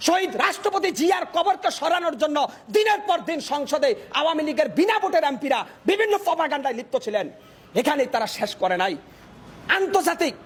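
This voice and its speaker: A Bangladeshi man delivering a fiery political speech in an impassioned, declamatory manner, often shouting and using repetitive rhetorical phrasing. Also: very high voice. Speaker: political speaker, self-described hawker.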